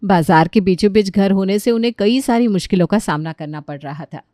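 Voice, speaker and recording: A woman's voice: mid-range voice, fairly quick, warm tone, dry recording, clean studio sound. A woman giving scripted narration for a cartoon story.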